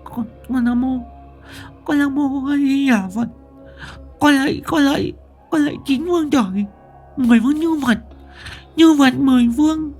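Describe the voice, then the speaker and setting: A lisping, terrified young village wife. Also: male voice, very high voice, normal speed, pauses often, darkish tone, faint music behind, pitched above the performer's own voice. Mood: frightened praying. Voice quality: lisping, stammering.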